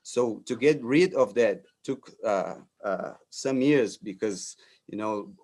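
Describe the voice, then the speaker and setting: A Brazilian architect in his forties giving a talk in English as a second language, halting with frequent fillers and restarts. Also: on the low side, a little mellow. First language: Portuguese. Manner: hesitant, many fillers and restarts. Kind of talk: lecture presentation.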